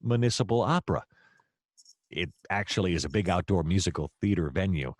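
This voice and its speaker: Hapless noir gumshoe played by a man, low pitch, dry close sound, excitable and rambling, comic radio-play delivery. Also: fairly quick, no room sound. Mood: enthusiastic. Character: hapless, excitable noir private detective, a man named Dirk.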